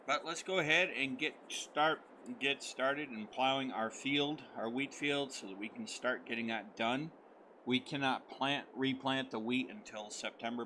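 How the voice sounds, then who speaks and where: A middle-aged man from the United States with a hoarse voice still recovering, talking at an average pitch. He rambles in a friendly, unhurried way, full of fillers and restarts, as he plays through a game. Faint noise and game engine sounds sit behind him. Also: bright, forceful tone, rough recording. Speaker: gaming channel host. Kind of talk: casual let's-play commentary.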